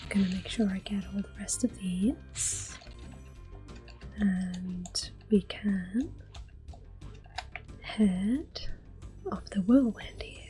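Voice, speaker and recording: A woman giving soft-spoken ASMR game commentary in a gentle, breathy, often whispered murmur. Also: medium pitch, very slow, pauses often, soft background music. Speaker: gameplay host.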